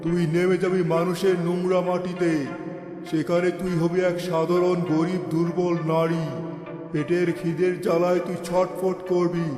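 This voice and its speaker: A man's voice: sits high, monotone, moderate pace, dark tone, lightly acted. A man voicing a cruel, gloating cartoon wizard who taunts theatrically as he lays curses. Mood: menacing. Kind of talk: cartoon fairy-tale villain acting. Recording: echoey hall reverb, music behind.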